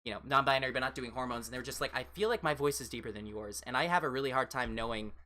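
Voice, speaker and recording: A woman with an American accent and a fairly deep voice, chatting casually as a livestream host and replying to viewers in a rambling, reassuring way. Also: racing, sharp tone. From United States.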